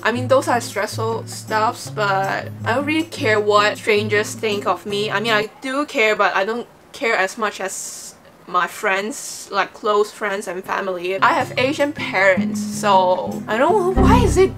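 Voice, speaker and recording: A woman's voice: medium pitch, animated, measured pace, over music, low-quality recording. A young woman from Hong Kong whose first language is Cantonese, talking to the camera in a casual vlog, rambling and frank, often correcting herself. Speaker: vlogger. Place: small bedroom. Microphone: camera-mounted mic, seated in front of camera.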